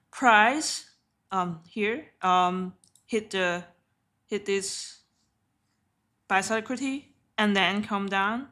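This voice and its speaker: A woman's voice: medium pitch, a bit bright. A woman whose first language is Cantonese, talking casually and candidly through a live trading stream with off-hand laughs. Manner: chatty and candid, swears offhandedly, often laughs. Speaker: live-streaming day trader.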